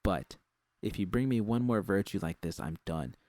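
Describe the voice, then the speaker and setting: Man voicing a blunt, low-voiced, jaded cyberpunk woman. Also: normal speed, a little mellow, no echo, close to an everyday voice. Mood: defiant anger. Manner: blunt, combative. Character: jaded, defiant, foul-mouthed woman braindance technician. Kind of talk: audiobook character dialogue.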